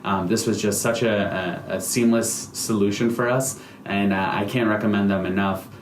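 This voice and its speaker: An earnest American man speaks in a low voice, telling his story as a founder in a testimonial. His talk is padded with plenty of "uh" and "um" fillers. The room around him is echoey. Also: fairly even intonation, normal speed, slight background noise. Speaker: company founder giving a customer testimonial. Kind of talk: testimonial to camera, semi-prepared speech.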